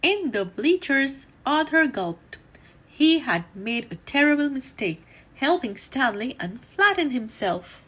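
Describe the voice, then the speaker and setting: A woman reading a children's story aloud in an animated, deliberate storytelling manner. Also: sits high, slightly rough. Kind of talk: expressive read-aloud storytime narration.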